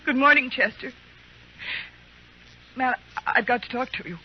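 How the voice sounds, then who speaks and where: A woman's voice: sits high, moderate pace, slightly rough. An actress playing an anxious, pleading married frontier woman in an old-time radio drama, earnest and urgent. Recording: dull, noisy old radio recording.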